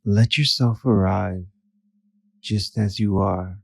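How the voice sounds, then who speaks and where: A man narrating a guided meditation in a gentle, soothing manner with short pauses between phrases. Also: on the low side, steady tone, gravelly.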